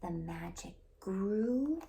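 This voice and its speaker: A woman with a mellow voice reads a picture book for children. She takes her time and narrates deliberately and expressively, as a storytime host. There is some faint noise on the recording. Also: medium pitch, lively intonation, gravelly.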